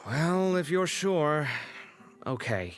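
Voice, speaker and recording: An actor playing a caring, skeptical young man in a video game, expressive and concerned. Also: on the high side, slow pace, faint music behind. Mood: worried. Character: caring, skeptical young male friend, worrier.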